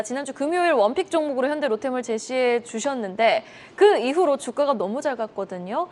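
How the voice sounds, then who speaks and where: A woman hosting a market news broadcast, putting questions to guests and summing up in a polished, courteous way. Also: fairly high pitch. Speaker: news anchor, host. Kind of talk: broadcast interviewing and summarizing.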